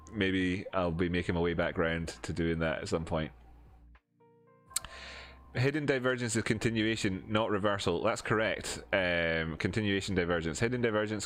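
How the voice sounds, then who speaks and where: A man with a broad Edinburgh Scottish accent and a somewhat low voice talks off the cuff to his stream audience. He rambles, restarts sentences and fills pauses with ums, moving between chatty asides and walking through charts. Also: a little hiss or hum. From Scotland. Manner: rambling, many fillers and restarts, answering chat. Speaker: crypto streamer. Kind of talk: casual live-stream chat and chart teaching.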